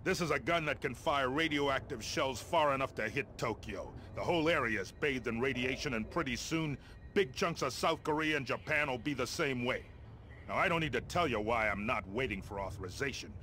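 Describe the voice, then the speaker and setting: An actor playing a gruff, impatient middle-aged American army colonel in a video game, briefing in a blunt, commanding, wry way. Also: male voice, medium pitch, a little fast, sharp tone, slight background noise, natural voice. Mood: businesslike, urgent. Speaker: military officer giving mission briefings.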